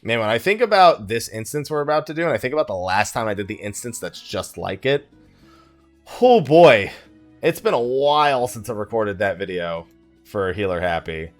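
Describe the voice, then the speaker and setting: A man's voice: fairly low pitch, big pitch swings, normal speed, faint music behind. A man giving casual let's play commentary, rambling and thinking aloud as he plays. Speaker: gaming YouTuber hosting a let's play.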